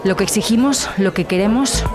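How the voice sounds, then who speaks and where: A woman's voice: medium pitch, brisk, over music, a crowd in the background, natural voice. A woman playing a murder victim's earnest, grieving sister, giving documentary-style testimony and insisting on justice. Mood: pleading, resolute.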